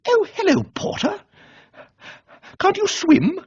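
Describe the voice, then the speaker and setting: A man plays a well-meaning young upper-class English gentleman for a radio comedy. His voice leaps high and swoops about, breezy and exclamatory, with an easily flustered air. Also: huge pitch swings, takes it slowly, no echo, lo-fi sound, exaggerated voice. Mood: breezy surprise.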